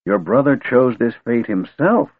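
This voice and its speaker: A high, quavering old wizard-god, cranky and scolding, whose tone swings widely as he lectures, as a male reader puts him on. The voice sounds husky and comes over a thin, phone-like line with no room around it. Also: very animated, measured pace, exaggerated voice. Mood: stern. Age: older. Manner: cranky yet wistful, scolding. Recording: tinny phone-like dry recording.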